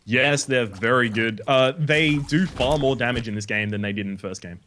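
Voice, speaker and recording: A man's voice: medium pitch, moderate pace, fairly bright tone, a little hiss or hum. Australian man explaining game items. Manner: explains game mechanics, swears casually. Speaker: let's play host. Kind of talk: casual gaming chat.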